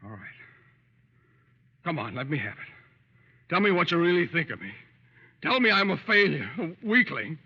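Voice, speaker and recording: An actor playing a nervous, meek young lawyer and self-pitying husband in an old radio drama, hesitant and stammering. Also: male voice, a little high, very animated, normal speed, pauses often, slightly rough, heavily acted. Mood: bitter despair. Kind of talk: 1930s-40s radio acting. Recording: muffled vintage radio recording, echoey, faint hiss.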